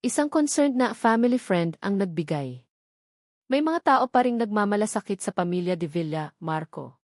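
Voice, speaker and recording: A woman's voice: medium pitch, lively intonation, moderate pace, darkish tone, no room sound, studio quality, close to an everyday voice. A woman voicing a vengeful, triumphant rich young woman in a story, emphatic and accusing. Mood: defensive.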